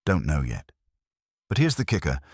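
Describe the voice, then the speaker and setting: A man's voice: very deep voice, huge pitch swings, normal speed, vocal fry, darkish tone, slightly rough, no echo, full character voice. A man voicing a dry investigator giving a report in a storybook reading. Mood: dry, teasing.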